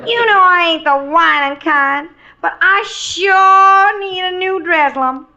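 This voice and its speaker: A woman's voice: extremely high pitch, slow, bright, forceful tone, dull audio, voice pushed up, heavily acted. A woman voicing a plaintive, sassy hillbilly mountain wife in a 1950s radio comedy sketch, on an old recording. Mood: wistful, sassy.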